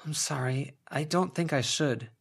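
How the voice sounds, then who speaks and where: Male reader as uneasy teen girl, guarded and hushed, lightly raised pitch, audiobook character. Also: unhurried, vocal fry, breathy edge, heavily acted. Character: wary, uneasy teenage girl.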